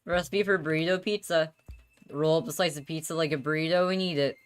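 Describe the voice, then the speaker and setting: A guilt-ridden, exasperated divorced father voiced by a woman narrates his confessions in a visual novel. The voice is low and dramatic, with faint music underneath. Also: fairly even intonation, brisk, lightly acted. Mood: earnest, absurd.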